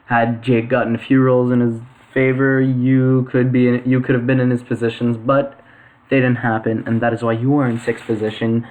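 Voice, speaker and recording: A young man giving casual weekly power rankings for a game league, halting with lots of fillers and self-corrections. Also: mid-range voice, level tone, phone-quality sound. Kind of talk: casual commentary.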